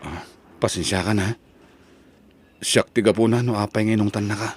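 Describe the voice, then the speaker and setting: Man acting a soft, hesitant young student suitor. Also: on the low side, normal speed, breathy edge. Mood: concerned. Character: shy, tender young male college student in love. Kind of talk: Ilocano radio-style drama acting.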